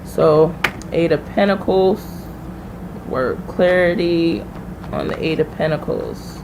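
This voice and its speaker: A woman's voice: fairly low pitch, slow pace, gravelly, background noise. A woman hosting a live tarot reading, chatting informally and familiarly with viewers as she thinks aloud. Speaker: tarot reader hosting a livestream.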